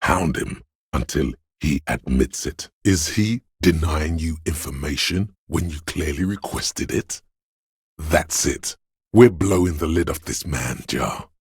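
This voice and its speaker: Super deep husky paranoid inner voice for a video game, slow n ominous, like raw fear and menace talking. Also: male voice, no room sound, studio quality, heavily acted. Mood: aggressive, paranoid. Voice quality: gravelly, husky, breathy. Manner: slow, ominous, intense delivery. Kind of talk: dramatic video game voice acting.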